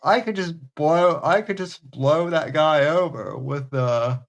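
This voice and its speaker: A man's voice: a little high, takes it slowly. A man hosting a casual podcast, rambling with hesitant restarts and crude humor. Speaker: podcast host. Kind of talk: casual chat.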